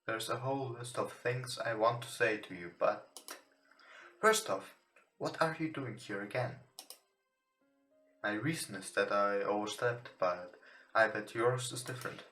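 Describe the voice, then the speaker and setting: A man with a bright, slightly low voice reads game text aloud in English that is not his first language. He goes slowly and a little haltingly, as a let's play host. The room echoes around him. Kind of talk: reading visual novel text aloud with occasional asides. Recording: roomy echo with music behind.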